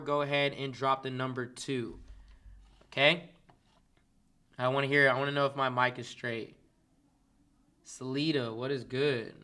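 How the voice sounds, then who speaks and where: A man's voice: mid-range voice, bright, forceful tone. A man from Kansas City, coaching on a casual livestream and greeting his chat viewers by name. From Kansas City, Missouri, US. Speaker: dropshipping coach hosting a livestream.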